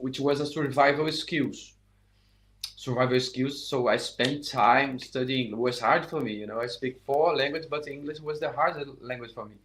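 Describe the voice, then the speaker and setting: Man, middle-aged, English, saxophone teacher, live lesson, halting, frequent restarts, mid pitch. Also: a bit bright. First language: Portuguese. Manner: halting, many restarts and "you know".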